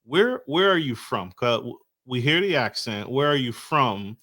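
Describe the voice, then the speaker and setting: Bright, effusive male podcast host. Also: mid-range voice, very animated, a little slow. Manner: effusive, enthusiastic, stumbles over names. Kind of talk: podcast interview.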